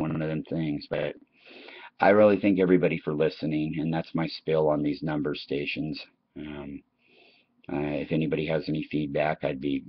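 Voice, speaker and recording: Very deep male voice, American accent, middle-aged, rambling casual storyteller, dull lo-fi recording. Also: dry recording. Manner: chatty, anecdotal, repeats and circles back. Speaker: online channel host. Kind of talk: casual rambling reminiscence to listeners.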